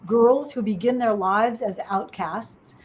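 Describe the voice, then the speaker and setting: A woman's voice: fairly high pitch, slow, muffled recording, slight background noise. Woman host asking long winding questions. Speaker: host interviewer. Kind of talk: casual interview.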